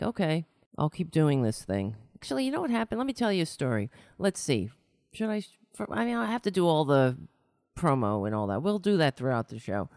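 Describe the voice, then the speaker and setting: A woman's voice: low voice, a little mellow, clear, resonant tone, no echo. Ranting talk-show host woman, dry sound. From New York City. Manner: ranting, exasperated, sarcastic. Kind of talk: casual livestream monologue.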